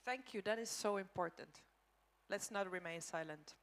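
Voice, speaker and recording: A woman's voice: mid-range voice, moderate pace, a bit bright. A woman choreographer moderating a panel discussion. She talks in a searching way, building lists and restarting her phrases. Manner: thoughtful, searching with fillers and repeated lists.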